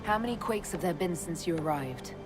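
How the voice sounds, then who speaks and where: A woman's voice: on the low side, animated, brisk, over music, lightly acted. An actress playing a composed, direct woman commander in a fantasy video game, with an inquisitive manner. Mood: composed, inquiring. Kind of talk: video game cutscene acting.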